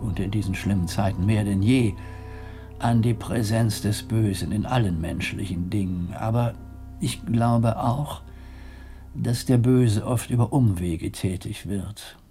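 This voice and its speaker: An actor playing a grave, diplomatic abbot in a radio drama, husky and gravelly, speaking formally with weighty pauses. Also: male voice, on the low side, dark tone, soft background music. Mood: grave, pointed. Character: grave, diplomatic male abbot of a medieval monastery.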